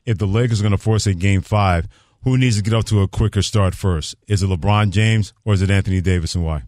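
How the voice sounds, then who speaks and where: A dry, studio-close sound carries a man with a lowish voice. He is a co-host on sports talk radio and speaks in a casual style. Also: fast, darkish tone, no room sound.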